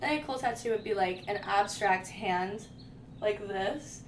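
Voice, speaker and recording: A woman's voice: medium pitch, measured pace. A young woman vlogger chatting casually and rambling, with lots of "like" and informal slang. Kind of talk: casual chat. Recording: echoey room, mic at a distance, faint noise.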